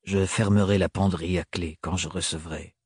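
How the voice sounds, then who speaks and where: A man reading aloud and voicing a tall, stern, gruff man who speaks curtly and flatly. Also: low voice, moderate pace, no echo, clean studio sound, voice pushed down. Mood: awkward. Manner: curt, gruff, flat like an accountant.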